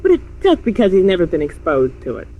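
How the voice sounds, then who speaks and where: A woman's voice: lowish voice, wildly swooping pitch, fast, highs cut off. An actress playing a wistful, affectionate young woman musician in earnest 1950s radio style. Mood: bright, hopeful.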